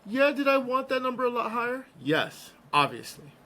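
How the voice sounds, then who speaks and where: A man hosting a casual podcast, slightly hoarse, playful and joking as he gives shout-outs and talks news. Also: very high-pitched, very animated, normal speed, a little hiss or hum. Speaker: podcast host.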